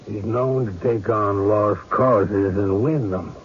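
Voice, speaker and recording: An actor playing a kindly, unhurried small-town sheriff in a radio drama. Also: male voice, fairly low pitch. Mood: calm, helpful. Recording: dull, noisy old radio broadcast.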